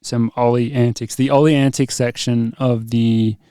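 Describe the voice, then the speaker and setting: A man's voice: mid-range voice, level tone, normal speed, warm tone, smooth, no echo. A young man hosting a casual podcast. His voice is dry and close to the mic. He leads the chat loosely, rambles and laughs between thoughts. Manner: laughing, leading the segment, rambling. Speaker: podcast co-host.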